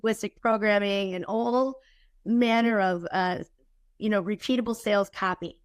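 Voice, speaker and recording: A woman guest, her voice a little husky from a cold, chatting casually and candidly with a quick, joking manner. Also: mid-range voice, steady tone, no echo. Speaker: podcast guest, keynote speaker. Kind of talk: casual chat.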